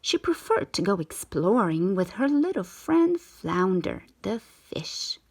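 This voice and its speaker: A woman reading a children's storybook aloud in an expressive, measured storytelling manner. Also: medium pitch, slightly rough. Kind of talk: scripted storybook narration, read aloud.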